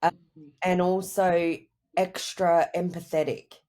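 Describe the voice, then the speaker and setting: A woman with a cold, sounding croaky, answering interview questions over a video call in a reflective, rambling way. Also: lowish voice, little pitch movement, takes it slowly, a little mellow. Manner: reflective, rambling with many "you know" and "I guess". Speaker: guest, writer and mother. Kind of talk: candid conversational interview answers.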